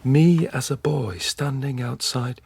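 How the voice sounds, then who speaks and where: An actor playing a weary, haunted middle-aged dissident in an intimate, brooding radio drama style. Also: male voice, mid-range voice, vocal fry, a little mellow, breathy edge, no echo, full character voice. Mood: haunted, confessional. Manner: slow, halting, brooding. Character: weary, haunted male dissident confiding memories and fears.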